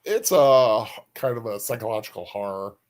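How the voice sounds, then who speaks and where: A man co-hosting a casual discussion stream, relaxed and hesitant with joking asides. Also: on the low side, moderate pace. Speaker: co-host.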